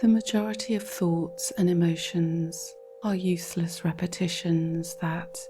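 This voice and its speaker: A woman reading a scripted guided relaxation in a calm, measured, instructional way, with a soft, breathy voice. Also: on the low side, over music. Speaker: guided meditation narrator.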